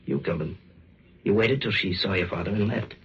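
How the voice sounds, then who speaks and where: An actor playing a firm, no-nonsense police detective, brisk and insistent, with a rough voice in old-time radio suspense. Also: male voice, deep voice, expressive, a little hiss or hum. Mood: stern accusing. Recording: dull vintage radio recording.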